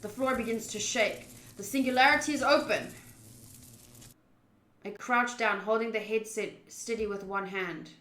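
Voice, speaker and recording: A woman streaming a visual novel playthrough, reading the narration aloud in an expressive, dramatic way with excitable interjections. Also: on the high side, moderate pace. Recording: roomy echo.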